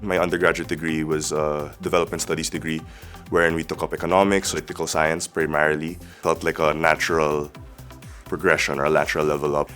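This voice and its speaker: A young man in his twenties, a graduate student speaking calmly and deliberately in an interview testimonial. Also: very deep voice, faint music behind.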